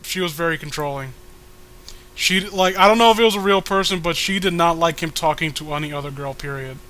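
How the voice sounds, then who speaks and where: Male comedy podcast host, high and sharp-toned, reads aloud and riffs sarcastically in casual style. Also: a little fast, rough recording. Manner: sarcastic, joking. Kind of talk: comedic reading with casual commentary.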